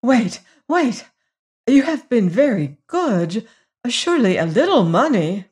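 A woman's voice: fairly low pitch, fairly even intonation, normal speed, close to an everyday voice. A woman voicing a haughty, frivolous and frightened society lady in an audiobook story, with a stammering, pleading, indignant manner. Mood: whimpering, pleading.

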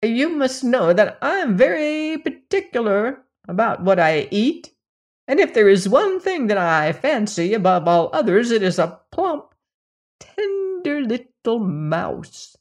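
A woman voicing a greedy, grumbling old woman with a stick who is quick to anger. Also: a little high, sing-song, voice pushed up, full character voice. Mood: fussy. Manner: testy, quick to rage.

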